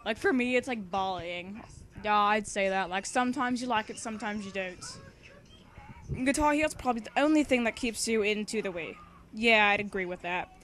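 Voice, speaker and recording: A woman's voice: mid-range voice, normal speed, fairly bright tone. Giggly, rambling young woman. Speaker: fan fiction author and reader. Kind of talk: casual read-aloud with chatty commentary.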